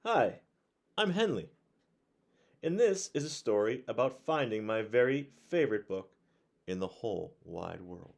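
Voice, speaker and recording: A man's voice: lowish voice, animated, a little slow, creaky. A man voicing an earnest young boy who tells his own story in an emphatic, childlike storybook manner. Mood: eager, friendly.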